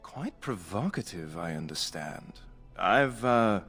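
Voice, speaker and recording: A man's voice: deep voice, lively intonation, takes it slowly, a bit bright, slightly rough, big-room sound, faint music behind, full character voice. A man playing an earnest, courteous young squire who dreams of heroism, in a video game. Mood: courteous, bashful.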